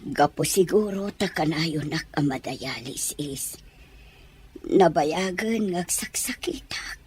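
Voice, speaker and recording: A woman playing a sickly, weary, aging mother in an audio drama, with a weak, breathy voice and a pleading manner. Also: low-pitched, lively intonation, takes it slowly, a little mellow, heavily acted. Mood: weary, feeble. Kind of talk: Ilocano audio drama acting.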